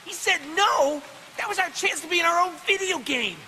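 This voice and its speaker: A man voicing a childish, excitable, silly voice inside an antihero's head in a comic video game. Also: very high voice, normal speed, heavily acted. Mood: shocked disappointment. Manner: silly, exclamatory. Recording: long reverb, faint hiss.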